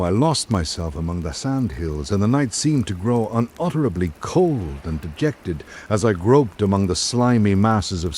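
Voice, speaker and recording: A man playing a reflective visiting writer, reading his island journal in measured, literary radio drama narration. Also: sits low, a little mellow, slight background noise. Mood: brooding, reflective.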